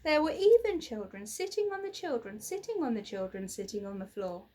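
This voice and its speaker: Female storybook reader, 30s, slightly high and husky, dramatic emphasis. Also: big pitch swings, a little fast, mellow. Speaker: teacher reading a children's book aloud. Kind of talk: expressive storybook narration. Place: small plain indoor room.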